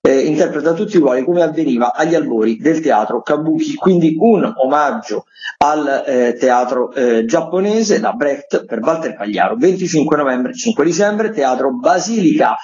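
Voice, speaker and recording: A man's voice: on the high side, animated, normal speed, darkish tone. A man giving chatty radio recommendations as a theatre critic, wry and a little hesitant. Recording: slightly dull lo-fi radio link.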